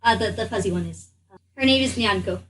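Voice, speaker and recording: A woman's voice: mid-range voice, quick pace, fairly bright tone, distant mic, low-quality recording. A woman playing a tabletop role-playing game, chatting casually in character in a hesitant, eager, polite way. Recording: off mic, background noise.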